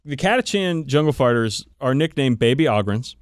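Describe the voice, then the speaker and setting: Man, podcast host, casual lore chat, excited and sweary, dry close sound. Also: mid-range voice, moderate pace, no room sound. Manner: enthusiastic, emphatic swearing. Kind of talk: casual, profane hobby chat explaining game lore.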